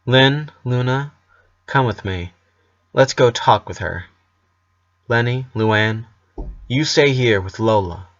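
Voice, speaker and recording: A man reads a teenage eldest sister taking charge in a story. The delivery is firm and commanding, at an ordinary male pitch, with slightly dull sound. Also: slow pace, long pauses.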